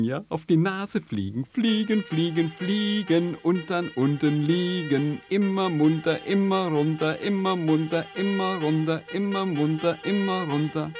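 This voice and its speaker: A man voicing a rowdy flock of birds who chant and mock in high voices. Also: darkish tone, heavily acted. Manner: mocking chant.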